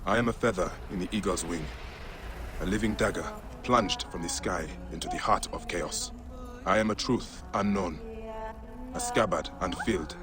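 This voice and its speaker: A man's voice: low voice, noisy background, natural voice. A man playing a grave, grieving warrior father sworn as an assassin, speaking solemnly and intensely in a video game cutscene. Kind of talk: dramatic game cutscene acting. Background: music.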